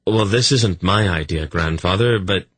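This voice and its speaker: A reluctant, dismayed young hero speaks in a low, husky voice, as a male reader voices him. The delivery is slow and close. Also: fairly bright tone, no room sound, heavily acted.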